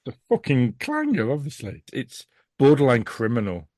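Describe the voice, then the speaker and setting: A man's voice: medium pitch, wildly swooping pitch, normal speed, a little mellow, dry recording, studio quality. A man chatting casually and bluntly as an opinionated podcast guest. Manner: blunt, sweary.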